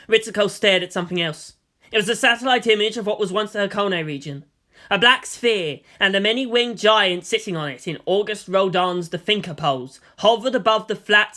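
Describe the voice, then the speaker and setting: A man's voice: sits high, pushed and bright. A man giving a dramatic scripted narration of a fan fiction story.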